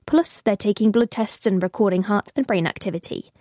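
A woman reporter narrating a news report in a measured, clear way. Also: mid-range voice, dark tone, no room sound, studio quality.

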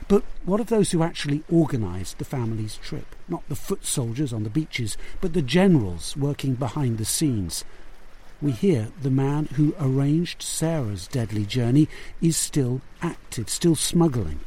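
A man's voice: mid-range voice, expressive, normal speed, warm tone, slight background noise. A man narrating a scripted investigative news report as a correspondent.

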